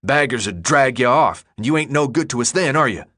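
Man acting gruff, impatient teenage boy leader. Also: medium pitch, sing-song, moderate pace, a bit bright. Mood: angry, commanding. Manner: blunt, slangy, clipped commands. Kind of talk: audiobook character dialogue.

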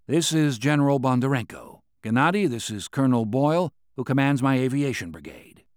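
A man voicing a brisk American general who gives orders in a businesslike way. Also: mid-range voice, expressive, no room sound, studio quality, natural voice.

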